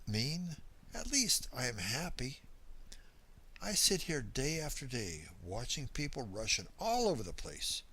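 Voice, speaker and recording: A man playing a small, cheerful middle-aged drifter who likes his drink, rambling in a folksy, confiding first-person monologue with dramatic pauses. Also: medium pitch, bright, forceful tone, slightly rough, heavily acted. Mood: contented, dreamy. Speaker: story reader.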